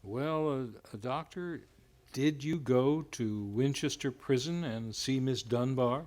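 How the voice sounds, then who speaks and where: A man's voice: medium pitch, takes it slowly, darkish tone, lightly acted. A man playing a genial announcer who hosts a radio mystery in old-time radio style. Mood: genial, suspenseful.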